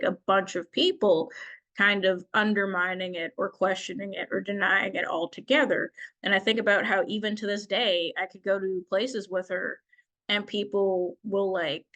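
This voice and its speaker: A woman's voice: medium pitch, fairly bright tone. A woman making informal, reflective and meandering remarks as a participant at a symposium, often saying "like". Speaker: audience participant commenting.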